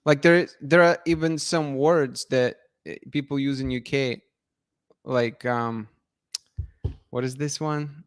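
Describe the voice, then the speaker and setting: A man's voice: mid-range voice, fairly even intonation, slow pace, smooth, no room sound, clean studio sound. A man from Russia, a channel host chatting casually and answering viewer comments with frequent restarts and laughs. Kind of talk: casual live-stream chat reading viewer comments.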